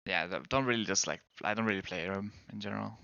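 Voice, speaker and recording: Danish-native male streamer, lowish voice, dry close sound, hesitant and rambling. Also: fast, creaky, sharp tone, clean tone, no echo, muffled recording. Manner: rambling, hesitant, restarts sentences. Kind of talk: casual chat with viewers while gaming.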